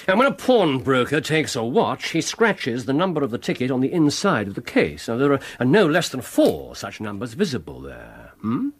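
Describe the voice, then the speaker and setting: An actor playing a brilliant, restless, haughty English detective in a radio drama, precise and pedantic in a theatrical way. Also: male voice, mid-range voice, slightly rough.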